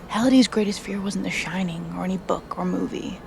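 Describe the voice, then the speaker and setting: Young rebel gamer woman acted by a woman: hushed, gravelly edge, earnest film delivery, music behind. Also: mid-range voice, fairly quick, lightly acted. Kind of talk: film acting.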